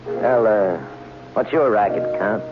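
A man's voice: on the low side, slow. An actor playing a small-time con man who narrates his own story in brash, sardonic, hard-boiled tones in a radio drama. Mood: wary. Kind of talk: 1940s radio acting. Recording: muffled old radio recording with loud music.

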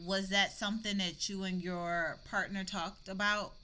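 A woman's voice: medium pitch, little pitch movement, a little slow, pushed and bright, smooth. A woman hosting a casual podcast conversation in an informal, conversational way. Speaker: podcast host leading the discussion. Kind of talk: casual chat.

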